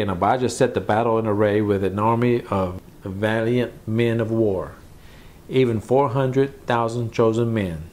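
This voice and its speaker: A man's voice: fairly low pitch, darkish tone. A man, a pastor, teaching from the Bible in a conversational sermon, repeating phrases for emphasis.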